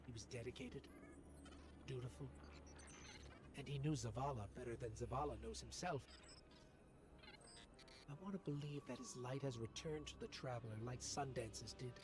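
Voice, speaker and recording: A man's voice: mid-range voice, lightly acted. A man playing a small robotic companion in a video game, speaking in an earnest, reflective monologue. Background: music.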